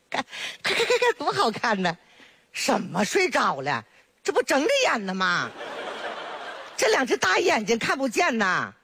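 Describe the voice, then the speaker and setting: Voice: high voice, pushed and bright, dry recording, heavily acted. A performer playing a chatty, doting old village grandmother with a Northeastern Chinese accent in a solo comedy sketch, full of exclamations and jokes. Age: older. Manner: sing-song, full of exclamations, teasing and jokey.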